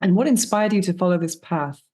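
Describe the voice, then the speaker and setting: A woman hosting a podcast, reading an ad and introducing her guest in a measured, scripted way. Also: on the low side, warm tone. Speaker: podcast host. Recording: music bed under voice.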